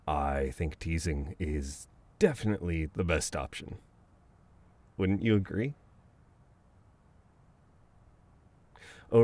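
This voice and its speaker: Guy playing a flustered best friend w a bossy streak, slow mellow voice, asmr roleplay, really up and down. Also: low-pitched, dry recording. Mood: wry, teasing. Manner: conversational, exasperated, breaking into chuckles and sighs. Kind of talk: intimate ASMR roleplay audio acting.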